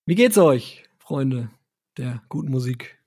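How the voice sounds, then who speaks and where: A man's voice: mid-range voice, expressive, takes it slowly, a little mellow, slightly rough. A man hosting a podcast in a casual, chatty style. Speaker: podcast host.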